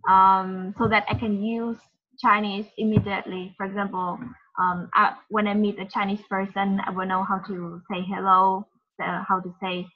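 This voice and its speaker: A woman's voice: mid-range voice, little pitch movement, moderate pace. Young woman, Vietnamese native, chatty webinar presenter with plenty of fillers; muffled lo-fi call audio. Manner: chatty, many fillers and restarts. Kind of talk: casual webinar talk. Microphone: video call.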